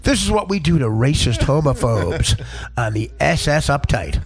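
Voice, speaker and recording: A man who works as a stand-up comedian, telling crude, rambling stories in a casual, profane, animated way. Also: mid-range voice, moderate pace.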